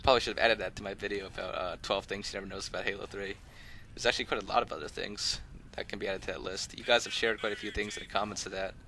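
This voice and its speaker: Bright-voiced low-pitched man casually commentating. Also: steady tone, very fast, gravelly, hardly any pauses, a little hiss or hum. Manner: rambling, conversational, easygoing. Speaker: host of a gaming video channel. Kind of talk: casual unscripted gameplay commentary, tutorial.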